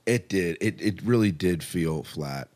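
A man's voice: low voice, lively intonation, unhurried, darkish tone. A man co-hosting a podcast, casually reviewing TV in an enthusiastic, conversational way and repeating phrases for emphasis. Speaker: podcast co-host.

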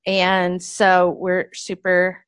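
A woman's voice: on the low side, monotone, drawn-out words, fairly bright tone, smooth, no echo. A woman hosting a podcast, interviewing in a casual, gushing and informal way.

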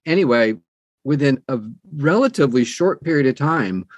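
Middle-aged or older man, mid-pitched voice, casual storyteller, interview guest, quotes dialogue, close dry sound. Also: lively intonation, moderate pace, no echo. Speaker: interview guest, audiobook narrator.

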